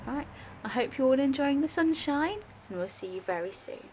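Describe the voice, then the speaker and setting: Expressive woman reading a children's story. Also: sits high, moderate pace, a little hiss or hum. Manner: expressive read-aloud. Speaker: primary school teacher reading to pupils.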